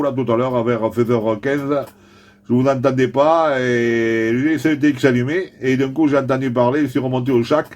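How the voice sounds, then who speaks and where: A man's voice: medium pitch, a little mellow. Male radio hobbyist, casual and rambling conversational delivery. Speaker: CB radio operator. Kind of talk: casual amateur radio chat.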